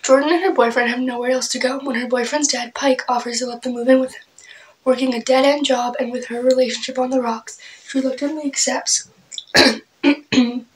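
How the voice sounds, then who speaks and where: A young woman chatting casually about her book haul, clearing her throat often as she loses her voice, her asides trailing off soft and breathy. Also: sits high, fairly even intonation, fairly quick, slight background noise, poor audio. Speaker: book vlogger. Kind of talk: casual book haul chat with read-aloud summaries.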